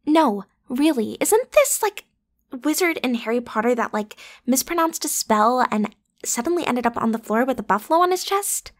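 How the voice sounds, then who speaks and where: A woman playing a bratty, playful popular student girl in an ASMR roleplay, with casual, slangy, teasing delivery. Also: a little high. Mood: defensive, teasing. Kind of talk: ASMR roleplay acting, one side of a conversation.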